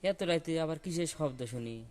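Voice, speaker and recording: A man's voice: fairly high pitch, animated, moderate pace, heavy background noise, close to an everyday voice. A man playing a weary, grumbling poor village woodcutter in a children's cartoon, muttering colloquially to himself. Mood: muttering, uneasy.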